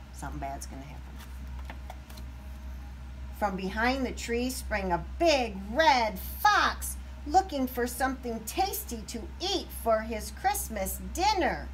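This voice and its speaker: A woman reading a picture book aloud to young children, expressive, with explanatory asides. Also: medium pitch, a little hiss or hum, low-quality recording.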